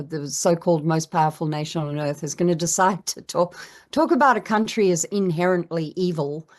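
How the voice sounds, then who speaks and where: A middle-aged woman filmmaker answering interview questions in a reflective, measured way with frequent pauses. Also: on the low side, a little mellow. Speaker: documentary filmmaker.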